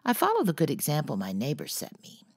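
A cheerful, easygoing teenage boy speaks playfully, voiced by a woman reading aloud. The voice sits low and sounds close and dry. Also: wildly swooping pitch, fast talker, darkish tone, husky, no room sound, full character voice.

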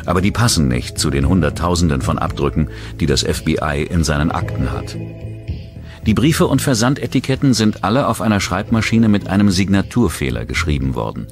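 Male narrator with a very deep voice for a true-crime documentary, reading over loud music. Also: animated, brisk.

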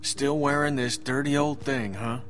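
A man's voice: medium pitch, fairly even intonation, moderate pace, a bit bright, soft background music, lightly acted. An actor playing a middle-aged male survivor in a video game, plain-spoken and folksy, with a Southern US accent. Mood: wistful, tender. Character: gruff, warm-hearted middle-aged male survivor, grieving but hopeful. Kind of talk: naturalistic video game voice acting.

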